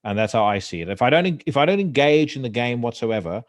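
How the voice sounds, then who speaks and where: A man's voice: mid-range voice, animated, fast, no room sound. Blunt man ranting, dry sound. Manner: blunt, profane, opinionated with frequent restarts. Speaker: podcast host. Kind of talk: casual chat.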